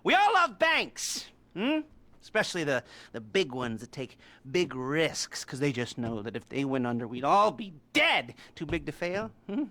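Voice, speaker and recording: Nerdy video game heist planner: high-ish, sharp voice, sardonic, halting and twitchy. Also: wildly swooping pitch, heavily acted. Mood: sardonic, smug. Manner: halting, rambling, nervous chuckles, rhetorical hms. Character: nerdy, twitchy, sardonic male heist mastermind briefing crew. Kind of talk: scripted video game cutscene acting.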